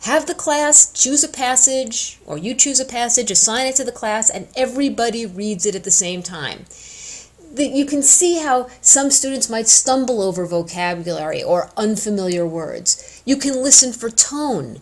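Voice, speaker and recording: A woman giving an informal instructional talk as a teacher, conversational and emphatic, stressing key words deliberately. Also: a little high, animated. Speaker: education instructor addressing student teachers.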